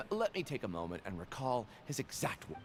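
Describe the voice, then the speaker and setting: Flustered, animated company promoter. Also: male voice, medium pitch, normal speed, creaky, a little hiss or hum. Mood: exasperated. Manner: sighing, exasperated, wordy. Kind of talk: video game voice acting. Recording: game music behind voice.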